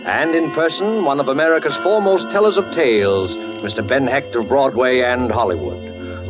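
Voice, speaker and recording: Music behind, dull old radio sound, formal man, portentous host, mid pitch. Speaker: radio show host, announcer. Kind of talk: 1940s radio announcing. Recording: dull vintage radio broadcast.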